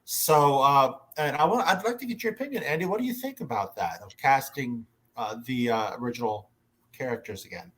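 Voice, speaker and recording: A man moderating an informal discussion and prompting others, speaking in a somewhat halting way. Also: mid-range voice, animated, fairly bright tone, breathy edge, rough recording. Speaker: panel moderator.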